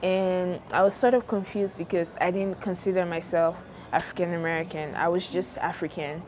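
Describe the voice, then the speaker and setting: A young woman from Nigeria, a university student speaking earnestly and in measured phrases about her own identity. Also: lowish voice, fairly even intonation, darkish tone, slight background noise. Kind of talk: personal testimony to camera.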